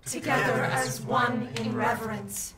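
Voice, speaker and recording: A woman's voice: on the high side, fairly even intonation, measured pace, natural voice. An actress playing a devout elderly village woman who leads a solemn chanted prayer, in a video game. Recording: roomy distant voice over crowd.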